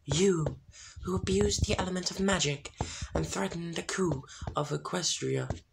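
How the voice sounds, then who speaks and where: A reader voicing a stern, ethereal spirit passing judgment, breathy and half-whispered, in a noisy home recording. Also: mid-range voice, unhurried, pitched above the performer's own voice. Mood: stern, solemn.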